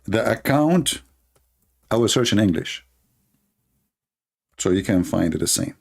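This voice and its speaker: A man's voice: lowish voice, lively intonation, measured pace, gravelly, slightly rough. A man hosting a live stream, talking in a sarcastic, mocking way and mimicking others in funny voices. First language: Arabic.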